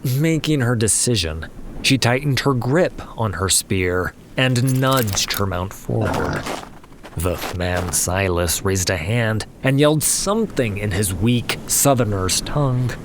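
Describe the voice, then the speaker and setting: Slow, low-voiced male fantasy narrator. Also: lively intonation, background noise. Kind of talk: dramatic scripted fantasy narration. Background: music.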